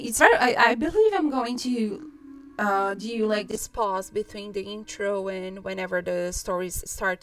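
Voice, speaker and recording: A woman's voice: medium pitch, a bit bright. A woman with a Brazilian accent, a native Portuguese speaker, chatting casually and in a rambling way to livestream viewers as a storyteller host. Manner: rambling, peppered with "like".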